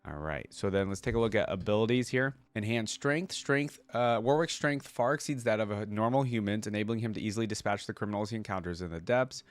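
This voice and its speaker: A man's voice: fairly low pitch, fairly quick, few pauses, clear, resonant tone, no echo. A man hosting a reaction video, thinking aloud in casual, conversational commentary and sometimes reading from web pages. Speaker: reaction video host and streamer.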